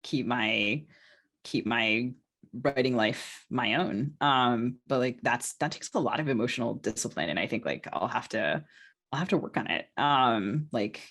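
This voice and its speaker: A woman's voice: a bass voice, measured pace, fairly bright tone. A woman talking casually as a podcast co-host and novelist, informal and self-deprecating, often feeling her way toward a phrase. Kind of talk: casual podcast conversation about writing.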